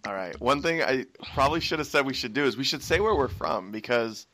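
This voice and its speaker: A man's voice: medium pitch, expressive, a little fast, bright, forceful tone, slight background noise. A young American man from Washington State hosting a casual podcast chat, rambling and laughing as he tells stories. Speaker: podcast host.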